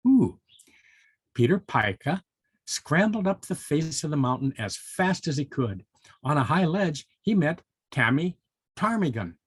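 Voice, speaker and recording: Older guy reading to little kids, husky voice, really up and down, chatty playful asides. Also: a little high, dry recording. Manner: chatty, expressive, asks rhetorical questions. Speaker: storyteller for young children. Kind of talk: playful read-aloud with asides to listeners.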